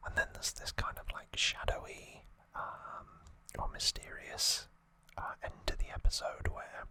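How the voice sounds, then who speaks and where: An ASMR host speaks entirely in a bright, crisp-edged whisper. The delivery is very unhurried and unscripted, with a hesitant, conversational way of retelling things. The voice sits close to the microphone. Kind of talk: whispered ASMR trivia recap.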